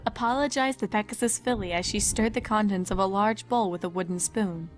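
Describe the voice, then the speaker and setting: A woman narrates a written story in a voice of middling pitch. It is a scripted reading, and soft music runs underneath. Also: brisk. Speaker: fanfic narrator.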